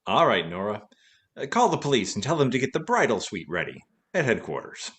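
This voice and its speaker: A man's voice: on the low side, big pitch swings, brisk, a bit bright. A man playing a wry, wisecracking, tipsy former detective in a vintage-style radio play. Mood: amused, teasing. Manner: wisecracking, teasing.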